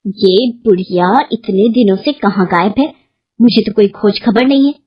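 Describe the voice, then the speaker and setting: A woman's voice: mid-range voice, a little slow, slightly rough, lo-fi sound. A woman voicing a sly, bullying daughter-in-law who threatens and sweet-talks in a story. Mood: menacing.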